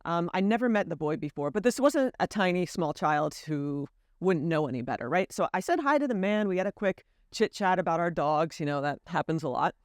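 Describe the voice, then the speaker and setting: An American woman speaks candidly and earnestly to the camera, reflecting on her life abroad. Her pitch moves freely and expressively, and the recording is close and dry with no room sound. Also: fairly quick, no room sound. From United States. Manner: earnest, candid, lively emphasis. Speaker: vlogger. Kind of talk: reflective personal storytelling to camera.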